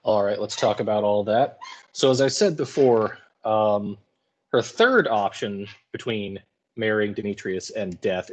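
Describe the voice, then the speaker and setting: Low-voiced man giving informal lecture commentary. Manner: colloquial, wry paraphrasing. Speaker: English teacher.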